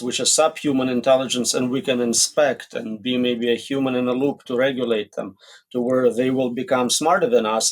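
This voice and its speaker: A man speaks as the expert guest in a conversational interview, at a middling pitch. He explains things in short, plain statements and leans on simple examples. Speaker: interview guest, AI safety researcher.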